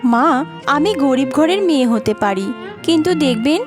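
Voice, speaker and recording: Casting: actress as humble young village wife for cartoon, high voice, courteous, sincere, patient; faint music behind. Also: female voice, lively intonation, measured pace. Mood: earnest, defiant. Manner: polite, earnest, reassuring. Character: patient, earnest young village daughter-in-law seamstress. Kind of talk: cartoon voice acting.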